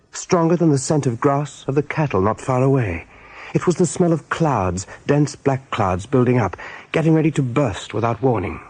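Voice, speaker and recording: A man narrating a 1980s radio play as a wry, atmospheric storyteller, expressive and dramatic with a husky voice. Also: medium pitch, no room sound, full character voice.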